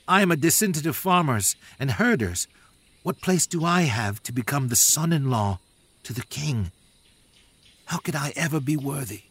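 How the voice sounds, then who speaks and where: An actor playing a humble, devout young warrior in a dramatized Bible story, earnest, soft-spoken and deferential. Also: male voice, fairly high pitch, lively intonation, normal speed, breathy edge, dry recording.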